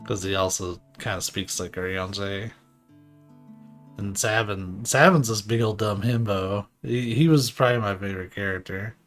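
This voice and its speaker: A man's voice: on the low side, steady tone, moderate pace, fairly bright tone. A man giving casual live commentary on a game in an informal, rambling, joking way. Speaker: game streamer. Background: faint game music.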